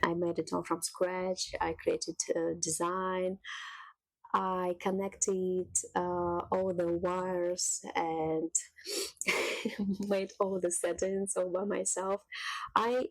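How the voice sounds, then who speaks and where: A woman from Russia, telling her own story in a casual vlog and laughing softly between thoughts. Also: on the low side, little pitch movement, slow, gravelly, dry recording, low-quality recording. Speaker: cooking channel creator. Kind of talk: casual personal vlog monologue.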